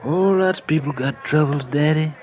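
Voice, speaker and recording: An actor playing an easygoing, folksy old blind jazz musician in a radio drama, with unhurried jive talk. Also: male voice, on the high side, darkish tone, dull audio, close to an everyday voice. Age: older. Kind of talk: 1950s radio acting.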